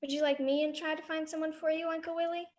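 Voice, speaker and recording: A woman's voice: high-pitched, little pitch movement, fast, darkish tone, lightly acted. A woman playing an earnest, inquisitive little girl with a direct, innocent manner in a live radio drama. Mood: earnest, hopeful.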